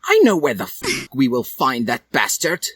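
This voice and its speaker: A man voicing a whiny, hot-tempered teenage wizard boy with shrill exclamations in a story reading. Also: huge pitch swings, moderate pace, a bit bright, breathy edge, dry recording, heavily acted. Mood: urgent.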